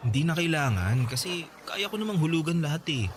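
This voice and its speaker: A man's voice: fairly high pitch, big pitch swings, a little fast. A man acting a hardworking boyfriend in a radio drama, reassuring and insistent. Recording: audible background hiss.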